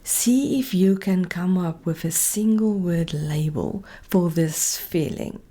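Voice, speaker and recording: A woman speaking as a meditation coach, giving calm, gently directive guided instruction in a soft, breathy voice that often nears a whisper. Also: fairly low pitch, slow pace.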